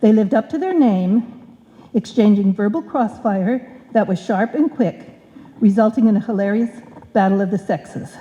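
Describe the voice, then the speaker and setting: A woman's voice: medium pitch, normal speed, mellow. A woman announcer reading scripted narration for a live old-time radio show. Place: indoor stage before a live audience. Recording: dull live recording in reverberant hall.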